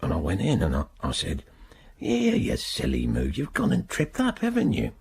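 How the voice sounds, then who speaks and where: An actor playing a haunted, middle-aged working-class man with an English accent and a husky, halting delivery in a naturalistic radio drama. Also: lowish voice, wildly swooping pitch, a little mellow, a little hiss or hum, full character voice. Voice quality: husky, gravelly. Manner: halting, plain-spoken, working-class idiom.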